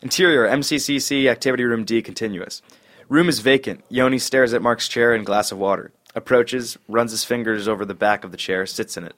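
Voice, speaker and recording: A man's voice: mid-range voice, fairly quick, a bit bright. Man casually reading screenplay stage directions. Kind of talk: scripted screenplay narration.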